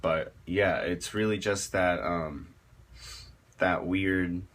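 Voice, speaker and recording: A man with a low voice gives a casual tutorial on metal vocals. His tone is bright and forward even at that depth, and he speaks slowly. He rambles, restarts phrases and leans on fillers as he explains. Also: slight background noise. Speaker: metal vocalist teaching screaming technique.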